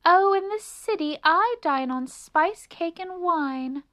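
A woman voicing a grand, excitable, bossy female city mouse in a children's storybook, full of exclamations. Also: high-pitched, a little slow, dull audio, voice pushed up. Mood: grand, boastful.

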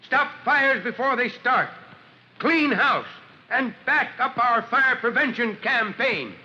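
An actor playing a gruff but kindly middle-aged fire chief in a 1940s radio drama, speaking emphatically. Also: male voice, extremely high pitch, slow, pushed and bright, exaggerated voice. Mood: oratorical, urgent. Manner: emphatic, oratorical. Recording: noisy, dull vintage radio recording.

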